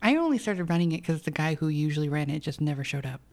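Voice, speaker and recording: A woman in her thirties, a singer-songwriter, chatting casually as a podcast guest, self-deprecating and quick to laugh. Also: low voice, lively intonation, a little mellow.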